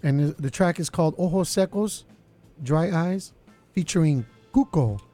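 A man hosting a college radio show, his voice slightly raspy, chatting casually with lots of fillers. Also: on the high side, moderate pace, dark tone, faint music behind. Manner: laid-back, lots of fillers. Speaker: radio DJ host.

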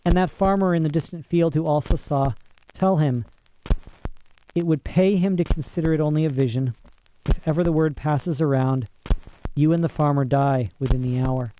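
Old storybook emperor, voiced by a male reader: grave, measured, regretful authority, warm dark timbre. Light background noise. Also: fairly high pitch, lightly acted, poor audio. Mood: sad, resolute. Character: solemn old emperor, regretful yet coldly commanding man.

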